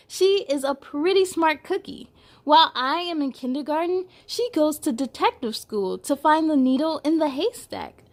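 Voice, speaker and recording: Female children's read-aloud host, high voice, animated and playful storytelling delivery. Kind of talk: expressive read-aloud for children with chatty asides.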